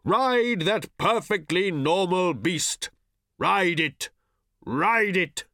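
A man voicing an urgent old male mystic who shouts commands in a booming, drawn-out way. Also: expressive, no room sound, clean studio sound, full character voice. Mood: urgent, commanding.

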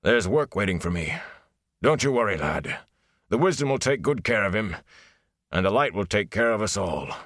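A man voicing a tired, steady village blacksmith. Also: on the low side, moderate pace, dry recording, studio quality. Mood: weary, steadying. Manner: gruff comfort to a younger man. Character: weary heavy-set village blacksmith, steady and reassuring.

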